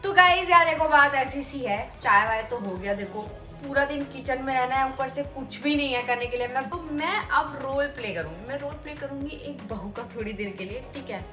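A woman's voice: sits high, a little fast, pushed and bright. Playful young woman in an echoey kitchen. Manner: playful, chatty, self-mocking. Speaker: vlogger. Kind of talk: casual vlog chat to camera. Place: small home kitchen indoors. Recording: echoey kitchen with music underneath.

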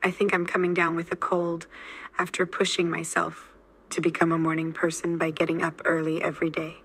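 A woman's voice: on the low side, monotone, fairly quick, a bit bright, breathy edge, dry recording, low-quality recording. A woman's AI-generated voice repeating model sentences steadily for a language lesson. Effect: AI text-to-speech voice. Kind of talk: scripted sentence drills.

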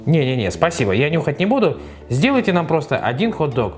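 A man voicing a forgetful, flustered father figure in a toy story, excitable and exclaiming. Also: fairly high pitch, a little fast, music in the background, voice pushed down. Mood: polite.

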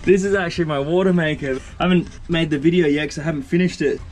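A man's voice: on the high side, fast, a little mellow. A young man talking casually to a handheld camera on his sailboat and in a boatyard, explaining repairs as he goes. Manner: laid-back, chatty, explains repairs as he goes. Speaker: sailing vlogger. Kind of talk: casual vlog chat. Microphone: handheld action camera.

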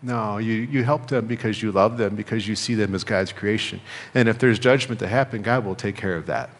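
A middle-aged American man preaching a sermon as a pastor, speaking in measured phrases with pauses and repetition. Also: lowish voice. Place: church sanctuary, indoors at a lectern. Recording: reverberant church hall.

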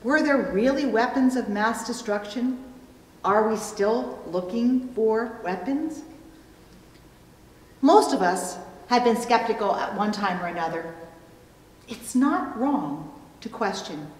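A middle-aged woman pastor delivering a sermon in a measured, deliberate way, recorded in a church room. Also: on the high side, expressive. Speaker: pastor preaching. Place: indoor church room at a pulpit. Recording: echoey hall, long reverb, distant mic.

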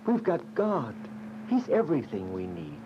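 An actor playing a devout, comforting brother in a radio drama. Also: male voice, medium pitch, huge pitch swings, moderate pace. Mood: comforting, earnest. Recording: muffled, noisy radio drama in car.

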